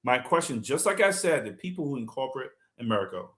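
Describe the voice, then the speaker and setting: A man's voice: mid-range voice, sing-song, fast, fairly bright tone. A man hosting a talk show, speaking passionately and building to indignant emphasis. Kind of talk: heated talk show commentary.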